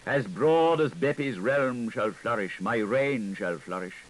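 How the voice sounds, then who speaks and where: A man's voice: medium pitch, lively intonation, unhurried, poor audio. A man voicing a pompous, haughty, self-righteous male ant in an audiobook fable, declaiming solemnly. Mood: solemn praying. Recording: 1980s audiobook recording with audible background noise.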